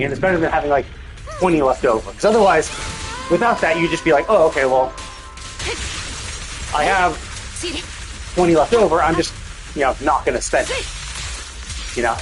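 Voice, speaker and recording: Rambling higher-pitched male game streamer. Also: rough recording. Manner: rambling, thinking out loud, self-interrupting; casually profane. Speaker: livestreamer. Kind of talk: casual gameplay stream commentary.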